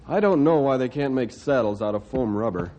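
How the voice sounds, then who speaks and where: An actor playing a good-natured, folksy, complaining forest ranger sidekick in an old-time radio drama. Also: male voice, medium pitch, expressive, a little fast, mellow, close to an everyday voice. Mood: folksy grumbling. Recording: noisy old radio recording.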